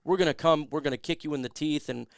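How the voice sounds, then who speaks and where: Man losing his voice, gravelly and high. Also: quick pace, no echo, clean studio sound. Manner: emphatic, reads viewer questions aloud. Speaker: podcast host. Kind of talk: casual sports talk show.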